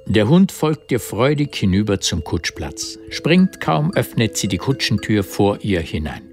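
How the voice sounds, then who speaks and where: Very deep-voiced man narrating. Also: very animated, measured pace, faint music behind. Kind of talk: literary scripted narration in a radio drama.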